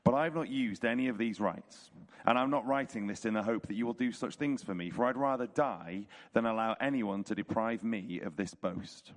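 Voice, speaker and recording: A man with a hoarse, croaky voice reads a scripture chapter aloud at a church service, in a measured, rhetorical way. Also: fairly low pitch. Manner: casual intro, then measured rhetorical reading. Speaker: church leader reading scripture. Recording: reverberant hall.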